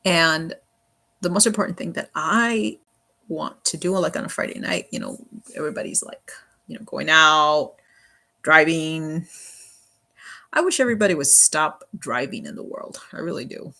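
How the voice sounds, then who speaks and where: A livestream host with a sharp, creaky voice at a middling pitch. The talk is casual and wandering, with halting restarts and repeated phrases, delivered earnestly. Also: lively intonation. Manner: halting, repetitive, peppered with "you know".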